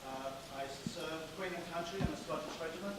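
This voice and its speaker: Higher-voiced man, earnest questioner. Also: a little fast, very noisy. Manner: earnest, grows louder and insistent. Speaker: audience member asking a question. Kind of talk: question from the floor.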